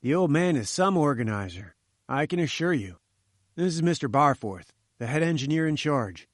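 A man's voice: on the high side, lively intonation, normal speed, no room sound, clean studio sound, natural voice. A man doing a breezy young journalist. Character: brisk young male journalist.

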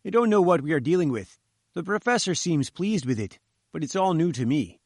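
A man's voice: fairly high pitch, lively intonation, fairly quick, a little mellow, no room sound, studio quality, pitched above the performer's own voice. A man voicing a gloomy, nervous chief engineer in an audiobook story, with an uneasy, emphatic manner. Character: nervous, lugubrious chief engineer, wary and stubborn. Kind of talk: audiobook character dialogue.

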